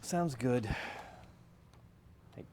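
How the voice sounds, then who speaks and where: An actor playing an affable, teasing husband who gripes about work in a staged comic play. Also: male voice, mid-range voice, drawn-out words, mellow. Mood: playful. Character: affable, teasing working husband griping about his boss.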